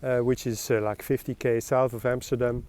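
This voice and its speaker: A man's voice: mid-range voice, flat delivery, fairly quick, a little mellow. A young Dutch man with a Dutch accent, answering street interview questions in English with frequent hesitant fillers. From Netherlands.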